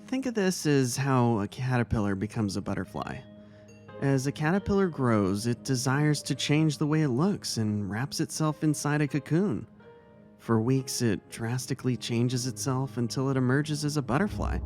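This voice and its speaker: A man acting a kindly, caring male vice-principal and mentor in a dramatic story reading, speaking gently and earnestly. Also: medium pitch, animated, normal speed, natural voice. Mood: reassuring, caring. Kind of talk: dramatic reading of fan fiction. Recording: music under the voice.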